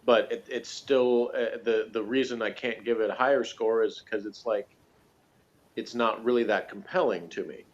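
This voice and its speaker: A man's voice: on the low side, steady tone, moderate pace. A man chatting casually as a guest reviewer, rambling conversationally with plenty of "you know" and "like". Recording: dull lo-fi recording.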